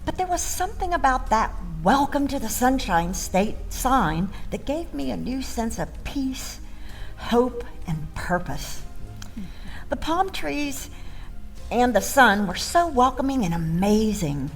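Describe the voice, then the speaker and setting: Lady acting cheery sentimental woman in her 60s telling a folksy story on stage, kinda high with lots of fry. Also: sing-song, unhurried, faint music behind, heavily acted. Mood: nostalgic, hopeful. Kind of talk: theatrical storytelling monologue.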